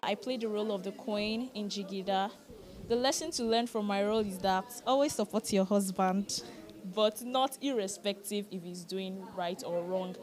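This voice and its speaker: A woman with a Nigerian accent, an actress talking conversationally and with opinions in an interview. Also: medium pitch. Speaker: stage actress.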